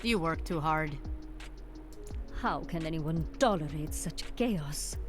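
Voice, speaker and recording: Game role: stern, disdainful woman, low and unhurried, music clearly behind. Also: lively intonation. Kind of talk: video game voice lines.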